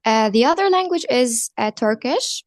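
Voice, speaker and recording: A woman's voice: a little high, lively intonation, normal speed, no room sound, studio quality. A young woman whose first language is Arabic, answering in class with careful, simple phrasing. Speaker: student.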